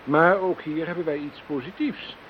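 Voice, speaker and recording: An actor playing a smug, condescending scientific expert in a radio play, speaking formally and pompously. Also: male voice, on the high side, normal speed, natural voice. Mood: smug, fascinated. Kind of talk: Dutch radio play acting. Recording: dull, hissy radio play recording.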